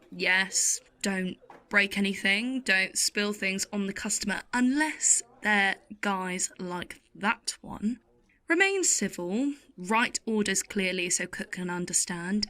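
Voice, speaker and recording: A woman acts a world-weary, middle-aged late-night waitress in an audio drama. She takes her time, speaking at a medium pitch with dry, sardonic wit. The delivery is intimate and deliberate, like someone confiding a story. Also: animated, pushed and bright. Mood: wry, deadpan. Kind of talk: audio play monologue with acted dialogue.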